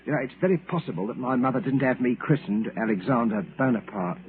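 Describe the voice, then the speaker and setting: A man's voice: medium pitch, animated, fast, close to an everyday voice. An actor playing a meek, forgetful failed salesman in a 1940s radio drama, hesitant and apologetic. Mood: wistful, secretive. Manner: timid, apologetic, hesitant, deferential. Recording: tinny, hissy old radio broadcast.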